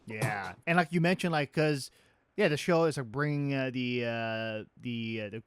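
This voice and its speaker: A man conducting a casual interview, speaking informally and haltingly with an enthusiastic manner. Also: medium pitch, slight background noise. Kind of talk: casual fan-press interview.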